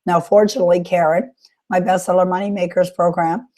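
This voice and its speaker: A woman hosting a live webinar question-and-answer session with a sore throat, talking in an encouraging, coaching way with frequent pauses. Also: fairly low pitch, fairly even intonation, dark tone. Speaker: webinar host and author coach.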